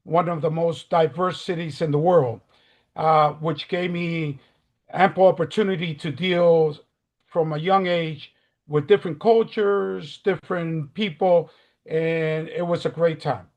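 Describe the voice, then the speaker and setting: An older man from the Dominican Republic, giving a halting, earnest opening statement in a remote job interview over a video call. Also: fairly high pitch, steady tone, darkish tone. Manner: earnest, halting with many fillers. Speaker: job candidate.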